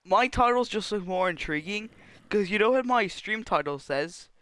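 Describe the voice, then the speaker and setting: A man's voice: sits high, lively intonation, normal speed, sharp tone, smooth. A young man streaming a block-building game, chatting casually to his viewers, rambling and restarting his sentences. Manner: rambling, chatty, trips over restarts while talking to chat. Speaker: live streamer. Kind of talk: casual gameplay commentary.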